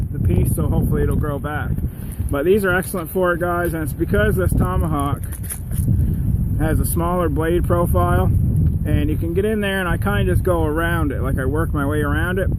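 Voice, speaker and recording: A man with a Maritime Canadian accent talks casually and folksily to his viewers as an outdoor vlogger, rambling and repeating himself. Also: on the high side. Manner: folksy, repetitive, often addressing viewers directly. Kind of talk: casual rambling chat to camera. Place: outdoors among trees in strong wind. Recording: very loud gusting wind outdoors. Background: strong wind noise.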